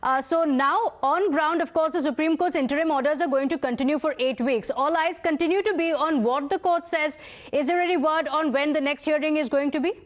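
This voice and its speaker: A woman's voice: high voice, a little fast. A woman with an Indian accent anchoring live news and putting questions to correspondents.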